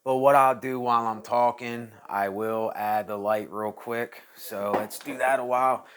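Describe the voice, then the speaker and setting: A man's voice: mid-range voice, fairly even intonation, unhurried, a bit bright, clean tone. Rambling man in his thirties. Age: 30s. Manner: rambling, repeats himself, thinks aloud. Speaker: channel host. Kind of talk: casual monologue vlog.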